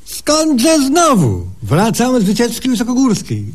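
A man's voice: very high-pitched, wildly swooping pitch, full character voice. An actor playing a cocky, simple-minded male patient in a humorous radio sketch, with folksy, ungrammatical speech. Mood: boastful. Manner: folksy, ungrammatical, drawn-out exclamations.

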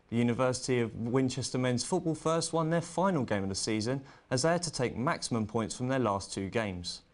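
A man presenting sports news, reading results and links from a script in a student news bulletin. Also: medium pitch.

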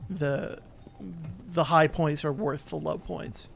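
Male game commentator, slightly high voice, casual and explanatory, pauses mid-thought. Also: steady tone, vocal fry, soft background music.

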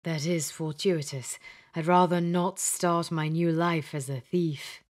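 A woman voicing a reserved, formal, old-fashioned gentleman who speaks quietly. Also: steady tone, slow. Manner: formal, quiet, low-key.

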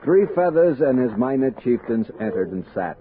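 A thin, band-limited 1960s radio recording of a man acting a thoughtful expedition captain. His delivery is measured and literate, with widely moving intonation. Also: mid-range voice, big pitch swings, narrow, tinny audio, a crowd in the background, lightly acted. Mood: reflective. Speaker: narrator and actor. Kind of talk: 1960s radio drama narration.

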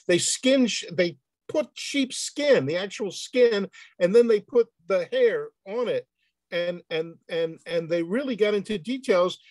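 A man speaking off the cuff in a comic, excitable way, often repeating words as he goes on a video call. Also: sits high, no room sound. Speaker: panel reader answering questions. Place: indoor room on a video call.

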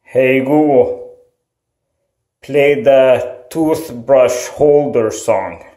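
Very slow-talking man in an echoey bathroom. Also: medium pitch. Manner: slow, flat, deliberate commands. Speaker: video creator. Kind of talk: repeated voice commands. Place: small tiled bathroom.

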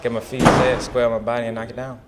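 A man's voice: mid-range voice, little pitch movement, fast talker. A man in his early twenties, a college basketball player, answering in a postgame interview. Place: indoors before a team backdrop. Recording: clear crowd noise behind.

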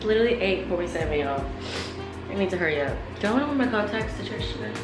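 A woman in her twenties talking chattily to her viewers, her voice a little hoarse from a cold, filming herself with a handheld camera. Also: medium pitch, lively intonation, fast talker, over loud music, low-quality recording. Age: 20s. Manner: chatty, rambling, talks straight to the viewers. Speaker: vlogger. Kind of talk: casual vlog chat. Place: small bedroom at home. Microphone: handheld camera, close.

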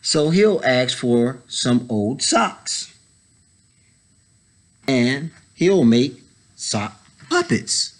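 A man in his thirties reading a children's picture book aloud, pausing to add casual explanatory asides for young listeners. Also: medium pitch, sing-song. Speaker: story time reader, educator. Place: indoors, school library.

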